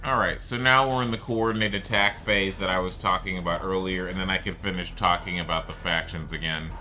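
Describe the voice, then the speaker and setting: A man's voice: deep voice, steady tone, fairly quick, pushed and bright, soft background music. A man giving unscripted game commentary, explaining things in a rambling way and circling back to restate his points. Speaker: gameplay video host.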